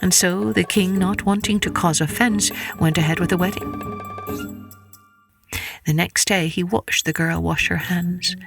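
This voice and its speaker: A woman storyteller reading a scripted children's folktale expressively. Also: on the low side.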